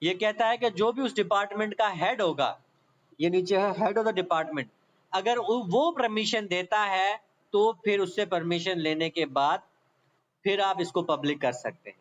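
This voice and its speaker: A man's voice: sits high, unhurried, highs cut off. A man with a Pakistani accent giving an explanatory law lecture, reading aloud and then explaining, repeating points and often checking that listeners follow. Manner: repetitive, checks understanding often.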